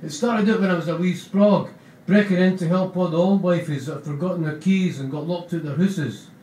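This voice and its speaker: A man reading aloud as a gentle young male junkie narrator with a Scottish accent, in broad rambling dialect. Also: high voice, a little fast, a little hiss or hum, lightly acted. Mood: wry. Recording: roomy, distant mic.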